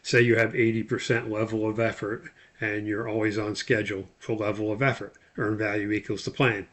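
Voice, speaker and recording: A man's voice: on the low side, little pitch movement, fairly quick, a bit bright. Plain-spoken man giving a lecture. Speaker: instructor. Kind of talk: explanatory lecture, loosely scripted.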